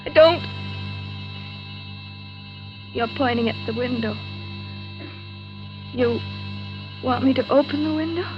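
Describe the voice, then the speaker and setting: An actress playing a fearful, distraught young heiress near breakdown in breathless, melodramatic 1940s radio thriller style. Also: female voice, high voice, normal speed, lightly acted. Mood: despairing. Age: young adult. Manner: breathless, melodramatic monologue delivery. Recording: dull vintage radio recording, loud music.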